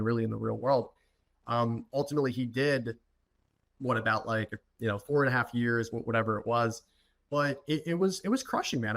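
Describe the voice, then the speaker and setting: A man's voice: mid-range voice, fairly bright tone. Informal young man telling a personal story. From United States, Philadelphia. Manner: informal, many restarts and repeated words. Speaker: podcast guest, entrepreneur. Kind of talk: personal storytelling in a podcast interview.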